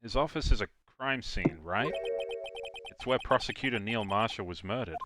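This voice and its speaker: Man playing an animated, earnest defense lawyer. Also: fairly low pitch, moderate pace, heavy background noise, natural voice. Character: earnest, dogged male defense lawyer with wry asides. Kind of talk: live fan dub of a visual novel game.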